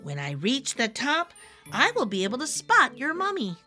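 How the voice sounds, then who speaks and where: A woman plays a kind little bear cub from a storybook, full of can-do confidence. The voice is high and lively, reassuring and a bit boastful, over music. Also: normal speed, full character voice. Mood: upbeat, reassuring.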